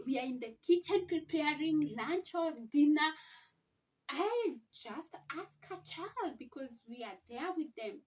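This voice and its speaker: A woman giving an informal reading lesson to parents, explaining deliberately and posing questions as she teaches. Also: sits high, slight background noise. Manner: deliberate, sounds out letters and words, asks rhetorical questions. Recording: slightly distant microphone.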